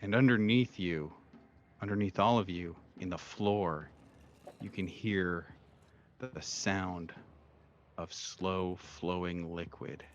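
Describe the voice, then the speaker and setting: Slow, low-voiced man as game master. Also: steady tone, muffled recording. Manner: halting, searching narration with repeated words. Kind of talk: narrating a horror tabletop roleplaying game.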